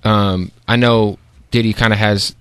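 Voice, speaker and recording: A man hosting an interview in a casual chat, with halting questions full of fillers. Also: lowish voice, fairly even intonation, fairly bright tone, smooth, no echo, clean studio sound. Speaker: interview host.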